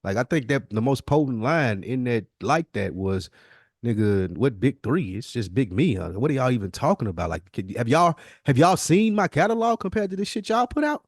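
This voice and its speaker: A man's voice: medium pitch, sing-song, normal speed, dry recording, studio quality. An American man in a casual hip-hop discussion, speaking in an emphatic, opinionated way with plenty of slang. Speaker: podcast guest.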